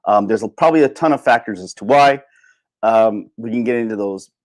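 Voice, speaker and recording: A man hosting a solo livestream in casual chat, rambling and halting as he thinks aloud. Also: fairly low pitch, darkish tone. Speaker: livestream host.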